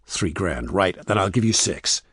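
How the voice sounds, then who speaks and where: A man's voice: deep voice, animated, moderate pace, fairly bright tone, husky, no echo, clean studio sound, heavily acted. A man voicing a panicky, pleading businessman caught cheating, in an audiobook. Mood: anxious bargaining. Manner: flustered, pleading. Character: panicky cheating husband, desperate businessman, bargaining.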